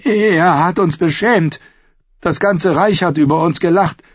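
A man's voice: measured pace, slightly rough, dry recording, lo-fi sound. A man voicing a terrified, pleading captive in an audiobook, stammering and whining in desperate self-excuse. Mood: desperate, defensive. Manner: stammering, whining, shrill when panicked. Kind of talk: audiobook character voice.

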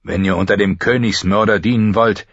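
A man's voice: fairly low pitch, steady tone, fairly quick, vocal fry, dry recording, muffled recording. A man voicing a proud, scornful old knight in an audiobook. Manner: contemptuous, stern.

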